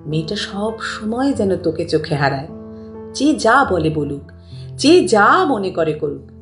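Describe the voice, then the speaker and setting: Elderly grandmother, voiced by a woman storyteller, tender, frank, coaxing; animated delivery, slightly off-mic over music. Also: mid-range voice, a little slow. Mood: tender, confiding. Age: older. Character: affectionate elderly grandmother, frank, reassuring, doting on her grandson. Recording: roomy, distant mic, music bed.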